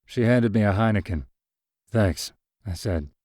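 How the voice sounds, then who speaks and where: A man reading a first-person short story aloud, in an even, measured storytelling manner. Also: sits low, no echo, studio quality. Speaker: narrator.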